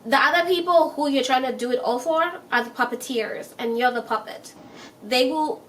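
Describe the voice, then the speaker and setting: Chatty high-voiced young woman giving advice. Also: normal speed, a bit bright, far from the mic, a little hiss or hum, poor audio. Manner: chatty, emphatic, repeats points, laughs often. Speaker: self-help vlogger. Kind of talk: casual advice monologue.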